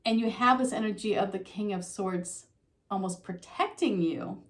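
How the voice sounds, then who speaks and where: A middle-aged woman giving a relaxed, talking tarot reading to her viewers, her voice a little froggy from a slight sore throat, with frequent ums and you-knows. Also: medium pitch, normal speed. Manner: soft conversational, with frequent um and you know. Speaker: tarot reader.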